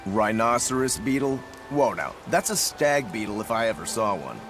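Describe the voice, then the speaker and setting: Um ator interpretando um espadachim descontraído e alegre, de fala franca e brincalhona. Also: male voice, lowish voice, sing-song, moderate pace, music in the background. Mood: casual. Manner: casual, blunt, playful. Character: laid-back cheerful male swordsman. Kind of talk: game dub acting.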